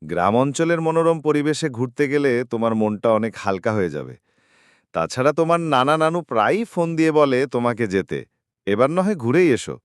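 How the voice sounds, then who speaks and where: Caring cartoon father, voiced by a man; smooth, expressive. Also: mid-range voice, normal speed, no room sound, clean studio sound, close to an everyday voice. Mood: persuasive. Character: caring middle-aged father.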